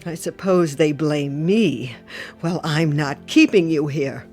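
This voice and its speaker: A woman's voice: sits low, slow, dark tone, faint music behind. An actress playing an anxious, smothering older widowed mother in a radio drama, fretful, tearful and nagging, with self-pity. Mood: needling.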